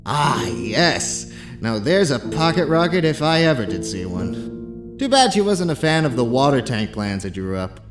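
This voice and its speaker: A man's voice: mid-range voice, big pitch swings, unhurried. Man playing a cocky, swooping flirt of a space engineer. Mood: playful. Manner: playful, boastful, teasing drawl. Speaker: voice actor. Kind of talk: visual novel fan dub. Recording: music behind the voice.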